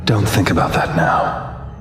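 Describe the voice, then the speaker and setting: A man's voice: a little slow, soft background music, natural voice. A man voicing a stern, driven project director in a video game cutscene, curt and authoritative. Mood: subdued concern.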